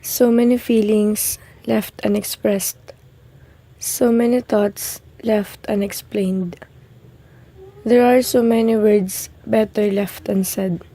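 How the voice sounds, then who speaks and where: A woman reading a scripted reflective monologue in a solemn, measured way for a radio drama. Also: mid-range voice, steady tone, dark tone.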